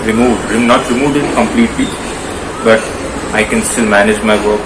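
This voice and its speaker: A man in his thirties with an Indian accent, casually demonstrating a product to viewers with halting explanations. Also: lowish voice, steady tone. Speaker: vlog host. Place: small indoor room at home. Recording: clearly noisy room ambience.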